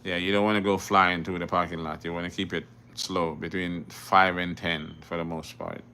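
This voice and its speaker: Man, middle-aged, from Jamaica, bright forceful tone, deep voice, answers viewer questions. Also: little pitch movement, normal speed, slight background noise. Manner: reads viewer questions aloud, then answers them plainly; repeats words and restarts often. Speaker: driving instructor. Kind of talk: casual livestream Q&A.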